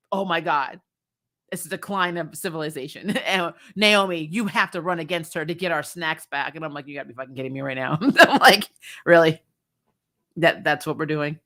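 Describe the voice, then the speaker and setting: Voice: medium pitch, fairly bright tone. A middle-aged host chatting casually and bluntly through a TV review, often exasperated and swearing freely. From grew up on military bases. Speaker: host of a TV recap show. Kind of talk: casual opinionated review chat.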